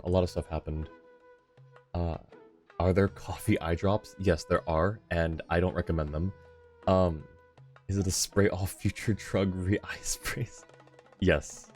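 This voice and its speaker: Low male voice, slightly tired, casual game streamer chat, polite, appreciative, faint background music. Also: steady tone, a little slow, pauses often, darkish tone. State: a little tired. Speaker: live streamer. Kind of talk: casual chat with viewers while gaming.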